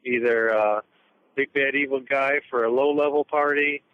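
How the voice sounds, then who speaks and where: A man leaves an earnest voicemail for a podcast, asking his question at a steady pace. He speaks at a middle pitch, and the call sounds thin and tinny, as on a phone line. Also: moderate pace. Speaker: caller.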